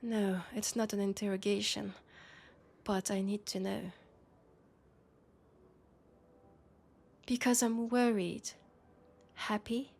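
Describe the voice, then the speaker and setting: A woman with a French accent playing a worried, exasperated female police colleague and roommate in an intimate sci-fi audio roleplay, speaking softly and hesitantly. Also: mid-range voice, fairly even intonation, measured pace, gravelly. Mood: worried, flustered.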